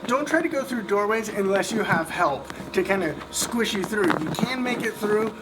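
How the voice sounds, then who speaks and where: A man's voice: high voice, lively intonation, moderate pace, very noisy. A man giving a casual how-to demonstration as a costume vlogger.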